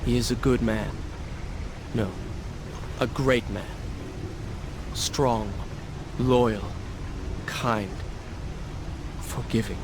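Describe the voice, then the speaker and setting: Earnest young prince over background noise. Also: male voice, mid-range voice, steady tone, extremely slow, pauses often. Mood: regretful. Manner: earnest, formal wording. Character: young heroic prince, regretful, proud, earnest. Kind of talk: dramatic video game acting. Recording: noisy game capture with sound effects.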